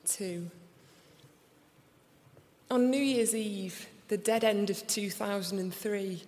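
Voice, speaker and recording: A young woman with a Sheffield accent reading her poems aloud in a slow, deliberate recital before an audience. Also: mid-range voice, darkish tone. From England. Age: young adult. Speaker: poet. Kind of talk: poetry reading. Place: large concert hall. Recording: live reading in a reverberant hall.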